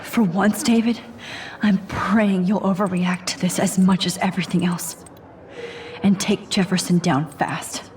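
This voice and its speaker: A woman voicing a soft-spoken teenage student. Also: fairly low pitch, fairly even intonation, unhurried, lightly acted. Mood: brooding. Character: earnest teenage girl photography student. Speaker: game protagonist. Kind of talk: video game voice acting.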